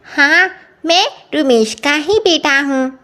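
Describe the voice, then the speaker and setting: A woman's voice: sits high, slow, sharp tone, pitched above the performer's own voice, full character voice. A woman voicing an earnest, anxious young village boy with childlike, plaintive delivery in a moral story cartoon.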